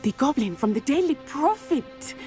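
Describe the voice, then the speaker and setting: A woman's voice: sits high, very animated, moderate pace, darkish tone, faint music behind. A woman playing an eager, curious schoolgirl witch in a video game, earnest and urgent. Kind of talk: video game cutscene acting.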